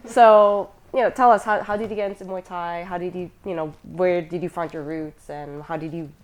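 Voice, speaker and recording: A woman's voice: fairly low pitch, fairly even intonation, normal speed. A woman hosting a casual podcast interview, talking in a chatty, friendly way with many fillers. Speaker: podcast host.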